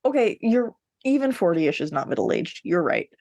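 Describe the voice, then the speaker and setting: A woman's voice: fairly low pitch, sing-song, normal speed, a little mellow, no room sound. Woman podcaster, wry and very dry-sounding. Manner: informal, wry, with sweary asides. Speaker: podcast co-host. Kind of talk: casual book discussion chat.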